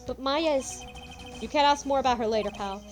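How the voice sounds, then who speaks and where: A woman voicing a gruff, blustery male police detective who keeps calling people "pal". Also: sits high, fairly quick, lightly acted. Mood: brusque. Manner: brusque, calls people "pal". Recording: game music behind voice.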